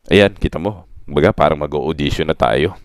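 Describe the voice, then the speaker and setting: A man's voice: very deep voice, fairly quick, dry recording, clean studio sound. A man chatting casually as a ventriloquist host, talking in a coaching, conversational way in a comic puppet act.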